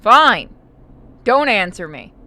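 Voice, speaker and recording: Bright-voiced, blunt woman game streamer. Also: mid-range voice, big pitch swings, slow, dry recording. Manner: blunt, bantering. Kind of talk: casual gameplay commentary.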